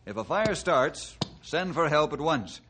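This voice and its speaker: An actor playing a firm, authoritative forest ranger leader in a 1950s radio adventure drama. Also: male voice, a little high, lively intonation, a little slow, fairly bright tone. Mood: brisk, commanding. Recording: noisy, clicking 1950s radio recording.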